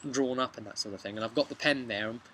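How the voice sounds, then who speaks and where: A young man telling a casual, halting recap of a tabletop game to camera as the game master, speaking outdoors. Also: mid-range voice, pushed and bright, slight background noise, low-quality recording. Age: young adult. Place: outdoors on a garden bench by a brick house.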